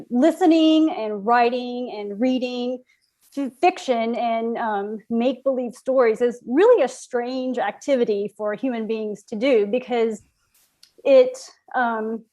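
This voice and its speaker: Higher-voiced woman, thoughtful and halting. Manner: thoughtful, halting, poses rhetorical questions. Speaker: playwright being interviewed. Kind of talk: reflective interview talk.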